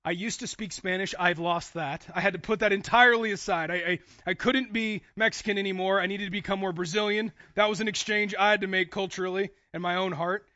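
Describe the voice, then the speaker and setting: A man's voice: high voice, fairly even intonation, a little fast, hardly any pauses, bright, forceful tone, no room sound, muffled recording. A man preaching a sermon to a congregation in an emphatic way, acting out imagined dialogue and repeating phrases for weight.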